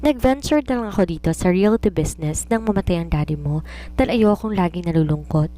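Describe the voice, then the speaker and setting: A woman's voice: lowish voice, lively intonation, fairly quick, warm tone, natural voice. A woman voicing a doting, nagging widowed mother and businesswoman, mixing Tagalog and English in an affectionate scolding way. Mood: indignant. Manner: Taglish, scolding yet affectionate.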